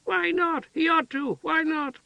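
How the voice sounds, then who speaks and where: A man voicing an excitable, childlike village woman who shouts and chatters eagerly. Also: very high voice, a little slow, vocal fry, dry recording, voice pushed up, exaggerated voice. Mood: excited. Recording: dull lo-fi dry recording.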